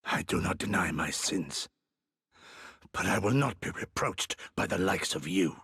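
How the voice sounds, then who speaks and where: A man playing a proud, stoic older samurai in a video game, speaking formally and with measured defiance in a gravelly, husky voice. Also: sits low, animated, fairly bright tone, full character voice. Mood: firm defiance. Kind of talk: dramatic video game cutscene acting.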